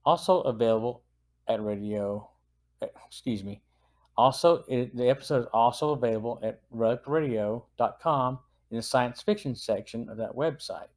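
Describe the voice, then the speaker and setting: Guy reading his podcast review, middle pitch, straightforward and earnest. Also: moderate pace, darkish tone. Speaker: podcast host. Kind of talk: semi-scripted podcast review narration.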